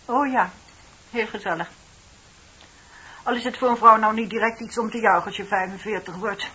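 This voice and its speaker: An actress playing a kindly, motherly office worker of forty-five in a radio play, with a husky voice and a friendly, modest manner. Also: mid-range voice, fairly even intonation, moderate pace, sharp tone. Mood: pleasant. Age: 40s. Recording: dull, muffled old radio recording.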